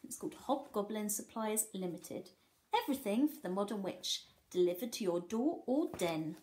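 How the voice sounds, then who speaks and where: A brisk advertiser of witches' wares from a storybook, read out by a woman. The items are listed like a sales pitch, with lively, varied intonation. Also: lightly acted. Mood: selling.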